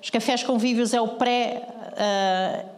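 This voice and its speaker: A woman's voice: a little high, steady tone, slow. Portuguese lady pastor preaching, earnest, lots of questions, big echoey church hall. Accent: European Portuguese. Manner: questioning the congregation, earnest, rising to urgency. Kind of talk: sermon.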